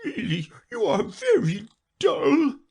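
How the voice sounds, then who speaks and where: A man voicing the Mock Turtle, a sobbing, self-pitying storybook creature who wails his words slowly. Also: wildly swooping pitch, creaky, a little mellow, full character voice. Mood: indignant. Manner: slow tearful wail, high and quavering.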